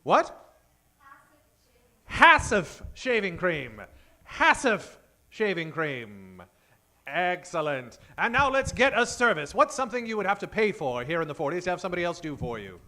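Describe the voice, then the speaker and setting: A man's voice: high-pitched, very animated, measured pace, long pauses, sharp tone. A man hosting a live comedy show from a theater stage, talking like a theatrical old-time 1940s radio emcee and projecting to the audience.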